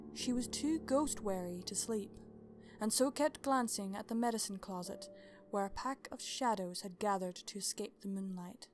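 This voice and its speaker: A woman narrating a story as host, in an expressive, measured, dramatic storybook style. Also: medium pitch.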